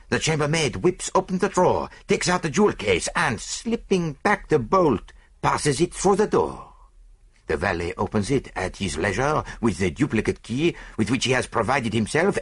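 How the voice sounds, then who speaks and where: A man's voice: mid-range voice, big pitch swings, normal speed, a bit bright, breathy edge, pitched above the performer's own voice, full character voice. A man voicing a vain, fussy middle-aged Belgian detective in an audiobook, with a French-speaking Belgian accent and precise, theatrical delivery. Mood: triumphant, explanatory. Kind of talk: audiobook character dialogue.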